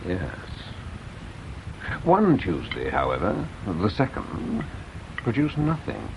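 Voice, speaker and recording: A man acting a shrewd, reserved English police inspector in an old-time radio detective drama, speaking in a dry, measured, courteous way. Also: deep voice, huge pitch swings, full character voice. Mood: guarded, dry. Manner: dry, measured, politely guarded. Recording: dull, noisy old radio drama recording.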